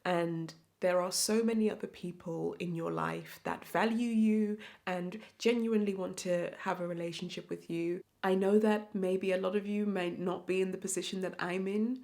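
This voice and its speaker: A woman's voice: medium pitch, fairly even intonation, a little mellow. A young woman, speaking to camera in an earnest, reflective personal vlog. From born in Zimbabwe, raised in South Africa. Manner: reflective, earnest, slow and measured. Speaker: vlogger. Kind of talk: personal confessional talk to camera.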